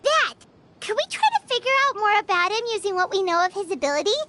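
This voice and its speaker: An actress voicing a small, excitable floating female companion creature in a game, bubbly and exclamatory. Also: extremely high pitch, a little hiss or hum, exaggerated voice. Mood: excited. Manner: bubbly, exclamatory, quick to jump to conclusions. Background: game background music.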